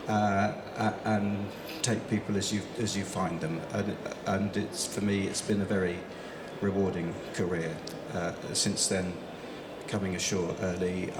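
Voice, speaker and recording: A middle-aged man moderating a conference panel, talking in a rambling way with lots of fillers. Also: deep voice. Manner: rambling, hesitant, many fillers. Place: large conference hall. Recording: echoey hall with background noise.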